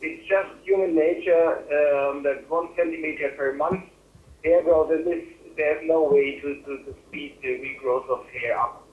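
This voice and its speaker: Halting German-accented man on a phone line. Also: a little high, fairly even intonation. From Germany or German-speaking Europe. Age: middle-aged. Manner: halting, repeats and rephrases words. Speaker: hair loss doctor answering questions. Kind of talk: medical Q&A on a webinar. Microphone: phone call. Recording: roomy, band-limited phone line.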